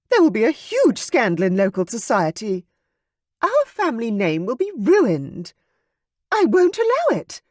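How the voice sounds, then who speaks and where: A shrill, tearful duchess, her voice leaping sky-high into ugly, frantic screams. The pitch swings wildly and the delivery is close and dry. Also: darkish tone, no room sound, heavily acted. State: crying. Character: shrill aristocratic woman, a duchess, hysterical and sobbing. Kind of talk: audiobook character voice.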